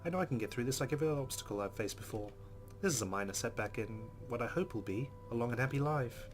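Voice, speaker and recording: A man playing a game, reading its text aloud dramatically and reacting in a jumpy, expressive way. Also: mid-range voice, quick pace, soft background music. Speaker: let's player. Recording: loud game sound behind voice. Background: game audio.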